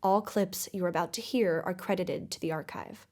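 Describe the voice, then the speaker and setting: A woman playing a composed investigative podcast host, with measured, formal delivery in a scripted audio drama documentary. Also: on the low side, a little mellow, lightly acted. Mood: measured, serious.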